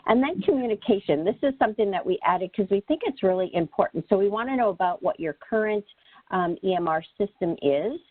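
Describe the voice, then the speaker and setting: A woman's voice: medium pitch, animated, fairly quick, no room sound. A woman explaining slides as a presenter on a conference call. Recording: dry, narrow phone-like call audio.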